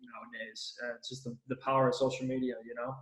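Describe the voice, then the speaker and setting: A man's voice: mid-range voice, level tone, fast, fairly bright tone, echoey room, low-quality recording. Upbeat slangy male podcast host. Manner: enthusiastic, slangy, calls guest "dude" and "bro". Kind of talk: casual podcast interview.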